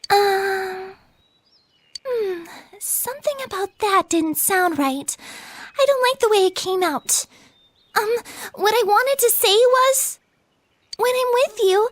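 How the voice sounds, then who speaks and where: A woman's voice: very high-pitched, dry recording, heavily acted. A woman voicing a shy, devoted teenage schoolgirl in an anime-style video game, girlish and sweet, with a hesitant, flustered, polite way of talking. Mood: nervous, earnest.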